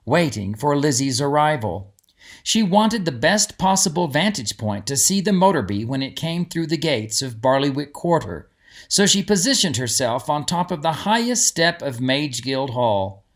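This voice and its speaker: A man who is an author reads his storybook aloud as scripted narration. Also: mid-range voice.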